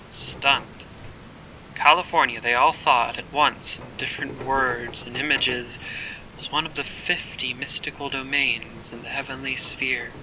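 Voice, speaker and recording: A man playing an earnest adventurer, narrating his journey in measured, dramatic first-person audio fiction. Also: mid-range voice, background noise, close to an everyday voice.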